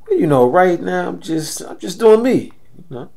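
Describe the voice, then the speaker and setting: A man's voice: sits high, very animated, normal speed, vocal fry, mellow, full character voice. A man playing a sheepish, deferential American grandson in his late thirties, hesitant and defensive in an audio drama.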